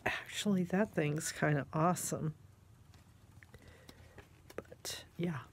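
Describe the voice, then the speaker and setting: Woman, deep-toned, leisurely pace, congested, casual craft show-and-tell, exclamatory, gushing. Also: slow pace, vocal fry, slightly rough. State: congested, snotty nose. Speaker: craft vlogger. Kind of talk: casual rambling chat while showing craft items.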